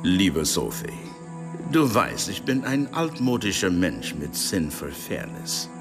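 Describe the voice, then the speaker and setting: Urbane male villain for radio thriller: lowish, extremely slow, mannered, music underneath. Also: big pitch swings, full character voice. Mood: smug, menacing. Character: urbane, menacing American embassy man. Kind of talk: radio play acting. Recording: music behind the voice.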